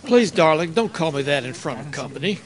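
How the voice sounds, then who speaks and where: A man playing a pompous, slow-witted, indignant bakery boss in a comic radio play. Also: fairly high pitch, lively intonation, lightly acted. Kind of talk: comic old-time radio play acting.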